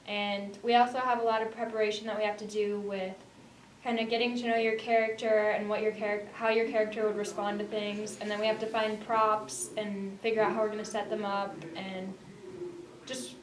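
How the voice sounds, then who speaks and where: A woman's voice: mid-range voice, level tone, moderate pace. A teenage girl, a student actor, answering interview questions in a casual way. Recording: roomy, distant mic, faint noise.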